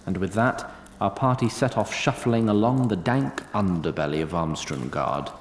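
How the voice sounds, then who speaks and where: Lively male storyteller in a roomy space. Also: low voice. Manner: measured, literary delivery. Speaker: narrator. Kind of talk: scripted fantasy story narration. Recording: roomy sound, faint noise.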